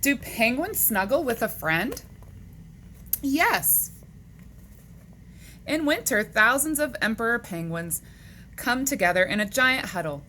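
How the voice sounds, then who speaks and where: Female children's read-aloud storyteller. Expressive, swooping intonation, leisurely delivery, question-and-answer style for little listeners, slightly noisy recording. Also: a little high, slow pace, pauses often. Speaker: storyteller reading a children's picture book aloud.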